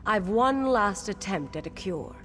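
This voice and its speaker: A woman playing a stern, mysterious witch healer in a fantasy game, speaking in a commanding, formal, deliberate way. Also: mid-range voice, expressive, a bit bright, faint music behind. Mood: stern, assured. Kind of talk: video game character acting.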